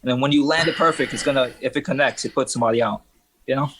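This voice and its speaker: Young guy from Trinidad, fighter guest, easygoing, cusses casually, call audio, middle pitch. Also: rapid-fire, fairly bright tone, a little hiss or hum, poor audio. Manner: laid-back, swears casually, laughs often. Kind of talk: casual chat on a video call. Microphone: video call.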